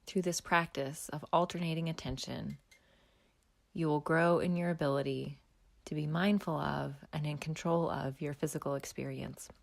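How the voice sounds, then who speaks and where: Woman, meditation guide, low voice, gravelly fry, soft, slow, half-whispered. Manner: slow, soft, calm instructions with long pauses, often half-whispered. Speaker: mindfulness guide. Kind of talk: guided body scan meditation.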